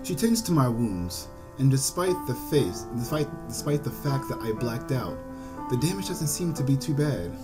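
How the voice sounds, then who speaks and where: Male gaming host, mid-pitched, reads and comments haltingly, repeats phrases, clear game music underneath. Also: big pitch swings. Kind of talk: visual novel let's play, reading aloud and commenting. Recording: music behind the voice.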